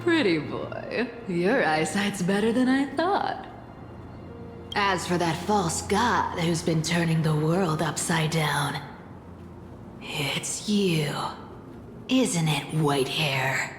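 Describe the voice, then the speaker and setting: An actress playing a sly, mocking, coolly menacing woman with a deliberate, taunting manner, in an anime-style video game. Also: lowish voice, expressive. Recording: echoey hall reverb, music behind. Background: faint game music.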